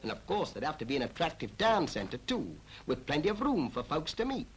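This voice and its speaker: A man's voice: a little high, wildly swooping pitch, brisk. Folksy cartoon everyman, swooping intonation. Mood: chatty, proud. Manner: folksy, colloquial. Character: cheerful, chatty everyman townsman in a cartoon. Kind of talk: 1940s animated public information film narration. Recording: old 1940s film soundtrack, music behind.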